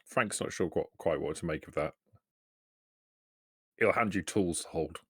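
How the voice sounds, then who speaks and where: A man's voice: sits low, unhurried, a bit bright, husky, dry recording, clean studio sound. A man playing a tabletop roleplaying game, casually describing what his character does.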